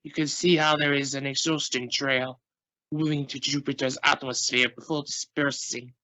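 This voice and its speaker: A man playing a solemn, formal Russian space agency spokesman in an amateur radio play, speaking with deliberate regret. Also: mid-range voice, level tone, sharp tone, natural voice. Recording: dull, noisy home-made radio play recording.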